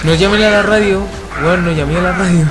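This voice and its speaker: A man's voice: high-pitched, steady tone, a little fast. A man with a Chilean accent giving teammates directions in casual game voice chat. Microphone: voice-chat microphone. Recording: music-drowned game voice chat.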